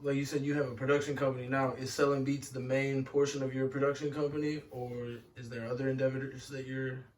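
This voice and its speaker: A man's voice: medium pitch, flat delivery, fairly quick, hardly any pauses, darkish tone, distant mic, a little hiss or hum. A man interviewing a music producer, asking plain questions and giving short affirming replies. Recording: voice from off-mic.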